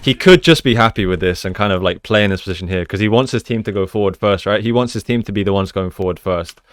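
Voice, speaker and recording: A man's voice: on the low side, fast talker, dry recording. A man giving casual, conversational commentary as he analyses gameplay like a coach, explaining each move.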